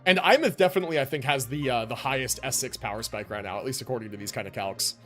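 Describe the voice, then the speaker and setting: A man's voice: medium pitch, fast talker, a bit bright, soft background music. A man casually commentating a live game stream, chatting with viewers in rambling, informal gamer slang.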